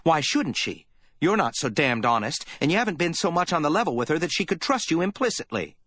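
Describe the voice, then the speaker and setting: A man's voice: big pitch swings, fairly quick, a bit bright, no echo. A man reading a story, voicing an anxious young woman secretary who pleads and scolds. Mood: angry scolding. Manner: raised pitch, pleading and scolding.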